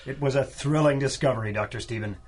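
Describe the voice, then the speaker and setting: A man acting an eager, husky-voiced young assistant. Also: medium pitch, fast, a little mellow, natural voice. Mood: curious, amazed. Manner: polite, eager, dramatic. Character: earnest, deferential young male lab assistant. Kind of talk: 1930s-style radio drama acting.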